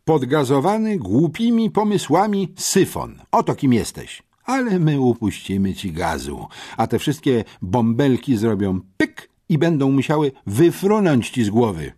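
A man's voice: mid-range voice, wildly swooping pitch, unhurried, darkish tone, breathy edge, full character voice. A man voicing a stern, domineering school headmistress in an audiobook, scolding with mocking sarcasm.

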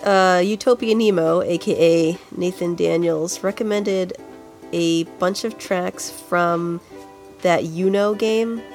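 A woman's voice: on the low side, slow pace, faint music behind. A woman with a congested, nasal voice co-hosting a podcast in a casual, chatty style. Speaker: podcast co-host. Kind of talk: casual video game music podcast chat.

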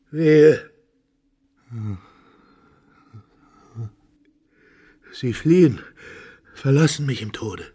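An older man playing a frail, dying nobleman father in a radio drama, husky and creaky, lamenting in a slow, faltering way. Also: medium pitch, close to an everyday voice. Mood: anguished lament. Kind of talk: theatrical radio drama acting.

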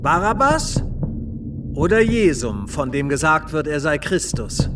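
A Roman governor with a high, very lively voice. He declaims and questions as in a radio play, a little away from the microphone, with music clearly behind him. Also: unhurried, far from the mic, noisy background. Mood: questioning. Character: Pontius Pilate, Roman governor questioning a crowd. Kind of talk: dramatic radio play dialogue.

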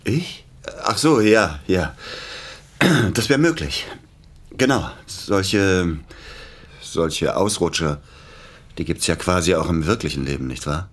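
A reluctant, nervous accomplice in a radio play. His voice is low-pitched and husky. He speaks hesitantly, doubling back on his words like a man with a troubled conscience. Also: animated, exaggerated voice. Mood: uneasy interest. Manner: hesitant, halting, repeating himself.

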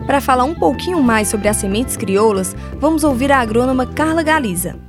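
A woman's voice: sits high, animated, fairly quick, over music. A woman presenting scripted radio narration.

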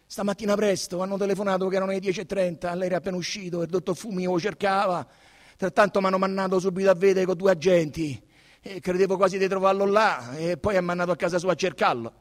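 Un attore che fa un poliziotto romano agitato, voce alta. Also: male voice, high-pitched, fairly even intonation, fast talker, long reverb tail, pitched above the performer's own voice, close to an everyday voice. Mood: agitated. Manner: rambling, colloquial, agitated. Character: agitated Roman policeman breathlessly reporting a gruesome murder. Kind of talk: dramatic radio theatre monologue.

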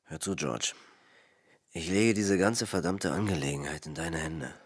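An actor playing a heavy, blunt city politician in a radio drama, gravelly and husky, given to cursing. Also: male voice, sits low, measured pace, full character voice. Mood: blunt, insistent.

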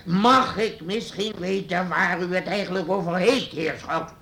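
An actor playing a pompous, irritable burgomaster in an old radio drama. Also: male voice, high-pitched, measured pace, vocal fry, bright, forceful tone, exaggerated voice. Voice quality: pompous, testy. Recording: roomy old radio play recording, faint noise.

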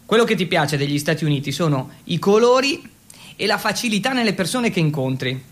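A man's voice: high voice, big pitch swings, a little fast, a bit bright. A man performing a theatrical monologue as a teenage exchange student, telling his story and listing things with relish. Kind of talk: dramatic monologue in the second person.

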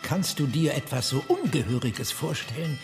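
A man's voice: medium pitch, expressive, normal speed, over music, close to an everyday voice. An actor playing a cynical, eccentric man who philosophizes in a sardonic, declamatory way in a radio drama. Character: cynical, eccentric philosophizing brother, excitable and caustic.

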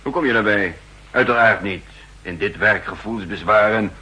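An actor playing a guarded, authoritative spy chief with a husky voice, clipped and formal, in a 1960s radio play. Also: male voice, on the low side, normal speed, bright, forceful tone, rough recording. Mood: curtly conceding. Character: authoritative, guarded male spy chief, curt with his servant.

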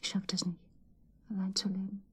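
An actress playing a giddy, infatuated, lonely woman in a radio drama, speaking intimately and haltingly in a breathy voice that often sinks to a whisper. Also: medium pitch, monotone. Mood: wistful, pleading. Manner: halting, emotional, swinging between murmur and outburst.

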